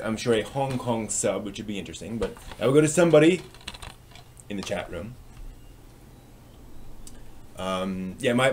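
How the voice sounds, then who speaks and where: A man hosting a live stream in a casual, chatty way, with a halting, hesitant delivery that tends to trail off. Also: medium pitch, slight background noise.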